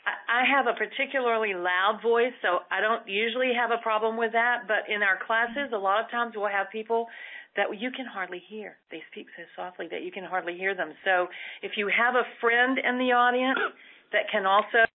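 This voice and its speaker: Woman co-host, steady and practical, heard through a phone-like line. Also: fairly high pitch, normal speed. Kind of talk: call-in advice show.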